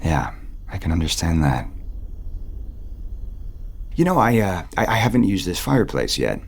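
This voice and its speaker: A man playing a tentative, weary romantic lead in an audio soap opera, speaking in a halting, intimate way. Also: deep voice, very animated, exaggerated voice. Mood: wistful. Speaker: actor.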